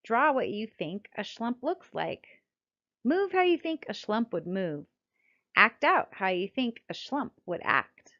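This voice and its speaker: A middle-aged American woman reads a scripted text aloud as a children's author, in a deliberate, expressive storytelling manner. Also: a little high. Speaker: children's book author reading her own book. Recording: dull, lo-fi sound.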